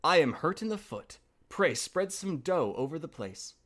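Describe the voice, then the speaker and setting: A man's voice: fairly high pitch, big pitch swings, normal speed, a bit bright, voice pushed up. A man voicing a deceitful, threatening storybook wolf with a coaxing, demanding manner. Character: deceitful, threatening male wolf posing as mother.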